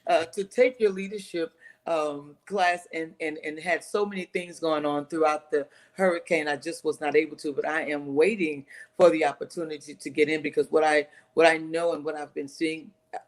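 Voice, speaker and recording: Woman, middle-aged, low-pitched, earnest, emphatic, conversational interview guest, video call. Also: fairly even intonation, normal speed. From Texas, United States. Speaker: interview guest, city council candidate.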